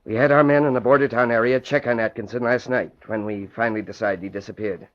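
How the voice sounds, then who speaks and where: An actor playing a brisk, worried federal agent in an old radio drama, questioning in an official manner. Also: male voice, mid-range voice, fairly even intonation, close to an everyday voice. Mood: exasperated, concerned. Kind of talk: 1940s radio acting. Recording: muffled old radio recording.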